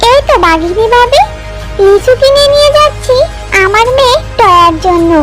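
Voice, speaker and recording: Woman as an earnest, very high-voiced mother fox. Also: animated, moderate pace, fairly bright tone, soft background music. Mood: earnest, worried. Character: caring, poor mother fox, easily worried.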